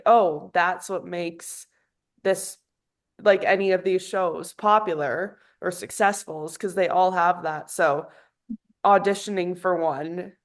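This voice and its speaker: A woman taking part in a class, offering a casual, conversational comment. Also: lowish voice. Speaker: class participant.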